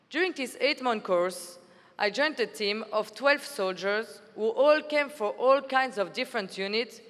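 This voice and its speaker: A woman's voice: a little high, a bit bright. A woman in her twenties from Belgium, an army officer giving an earnest, formal prepared speech at a conference. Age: 20s. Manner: earnest, formal, read-aloud delivery. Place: large conference hall. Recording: hall reverb from a venue PA.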